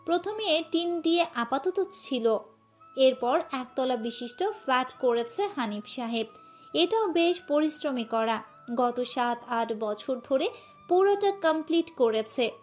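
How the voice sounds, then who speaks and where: A woman's voice: high voice, lively intonation, slow. A woman reading a scripted audio story as its narrator, with music behind her. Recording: music under the voice. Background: background music.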